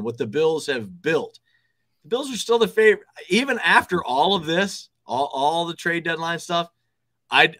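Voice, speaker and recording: A man's voice: a little high, a bit bright. A man in his thirties, a TV sports director, giving confident, emphatic sports analysis over a video call from an office. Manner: emphatic, confident, repeats words for stress. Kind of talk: casual sports analysis chat. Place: office with desks, indoors.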